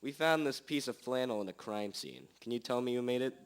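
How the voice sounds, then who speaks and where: A man playing a self-important, gruff detective with theatrical declarations in an amateur radio drama, roughly recorded. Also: mid-range voice, fairly quick, close to an everyday voice. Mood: businesslike. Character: melodramatic male small-town detective, gruff and self-important.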